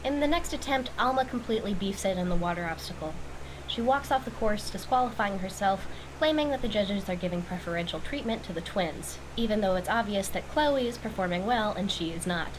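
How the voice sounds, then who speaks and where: A woman narrates a recap as a critical commentator with a wry manner. Her pitch is middling, and there is clear background noise under her voice. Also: animated, brisk. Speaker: video commentator reviewing a cartoon.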